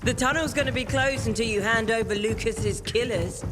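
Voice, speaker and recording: An actor as a hostile game sergeant, scornful and menacing in interrogation. The voice is mid-pitched, with clear music behind it. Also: normal speed, lightly acted. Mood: scornful threat. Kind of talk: video game cutscene acting.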